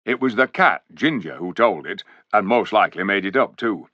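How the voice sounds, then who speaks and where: A man's voice: lowish voice, lively intonation, moderate pace, sharp tone, dry recording. A man doing a chatty, folksy dwarf. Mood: earnest. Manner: folksy, rambling storyteller's telling. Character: chatty loyal male dwarf, blunt and homely. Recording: dull, dry recording.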